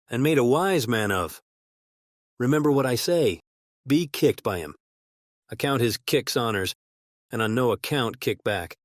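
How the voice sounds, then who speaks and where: Old humpbacked merman, swooping pitch. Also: dry recording, clean studio sound. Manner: argumentative, oratorical, coaxing. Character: old humpbacked badger-haired merman, muttering, cajoling and lecturing.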